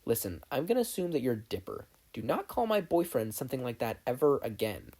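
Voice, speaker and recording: A narrator voicing a protective, steady young woman who talks in a firm, direct, reassuring way. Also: medium pitch, a little fast, breathy edge. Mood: stern warning.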